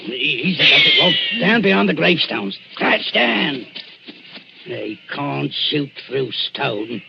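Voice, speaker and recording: Blustering, gravelly Cockney grave robber. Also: male voice, fairly high pitch, huge pitch swings, slow, very noisy, exaggerated voice. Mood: bold, gruff. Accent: English Cockney. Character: swaggering, bullying grave robber, rough and bold. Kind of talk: 1940s radio acting. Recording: muffled 1940s radio broadcast, faint music.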